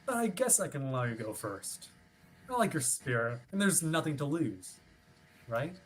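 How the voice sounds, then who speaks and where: An actor as officious, animated ship watch officer. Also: mid-range voice, moderate pace, natural voice. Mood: chipper, formal. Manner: formal, wordy, over-polite. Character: officious ship watch officer, chipper, rule-bound, politely stubborn. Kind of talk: staged reading of a play over video stream.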